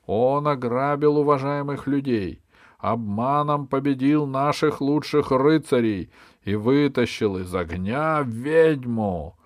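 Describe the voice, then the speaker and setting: A man's voice: medium pitch, slow, dry recording, clean studio sound, voice pushed up, lightly acted. Man as a stern, accusing storybook bishop. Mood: solemn accusing. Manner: formal, declamatory. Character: stern, accusing beaked bishop, sanctimonious villain.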